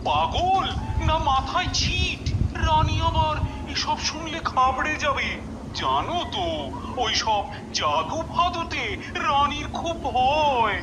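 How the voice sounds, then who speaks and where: A high, swooping, excitable cartoon king. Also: slow pace, background noise, full character voice. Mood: nervous. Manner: exclamatory, repetitive, easily flustered. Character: excitable, fussy king fond of his jester. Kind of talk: cartoon voice acting. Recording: loud music behind the voice.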